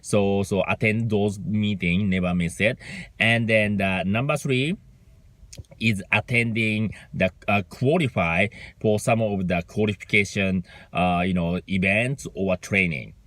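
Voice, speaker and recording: A man's voice: fairly low pitch, fairly bright tone, no echo. A man from Japan with a Japanese accent, giving an unscripted motivational talk as a business mentor, full of fillers and "right?" checks. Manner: halting, heavy fillers, frequent "right?" checks.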